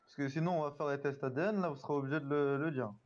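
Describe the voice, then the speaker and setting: A man's voice: fairly high pitch, fairly even intonation, fast, darkish tone. A man casually role-playing a police instructor online, giving colloquial directions over game voice chat. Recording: dull lo-fi game voice chat, noisy.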